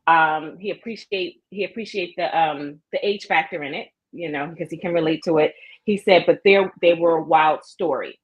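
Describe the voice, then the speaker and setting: A woman hosting a casual live chat, chatty and quick to laugh. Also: low voice, husky, lo-fi sound.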